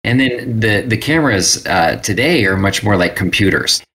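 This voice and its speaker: Guy with a lowish voice, super casual interview guest, says like a lot, acts out quotes. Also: lively intonation, normal speed, bright, forceful tone. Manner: informal, acts out remembered dialogue with "like". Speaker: guest, self-published creator and photographer. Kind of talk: casual chat.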